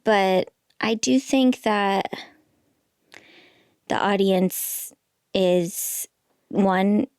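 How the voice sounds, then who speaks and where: A woman's voice: medium pitch, extremely slow, pauses often, darkish tone, clear, resonant tone, no echo, clean studio sound. A woman in her thirties, a stand-up comic and pregnant guest, chatting casually with a small, light voice, laughing often and trailing into fillers. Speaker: guest comedian. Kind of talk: casual podcast chat.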